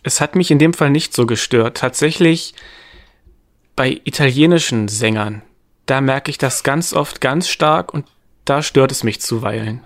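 A man's voice: medium pitch, a little slow. A man co-hosting a music podcast, talking casually about songs and singers.

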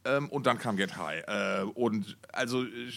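Middle aged guy just chatting on a music podcast, medium pitch, rambly and into it. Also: measured pace, creaky, bright, forceful tone. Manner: enthusiastic, rambling, colloquial, repeats words. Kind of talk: casual unscripted chat.

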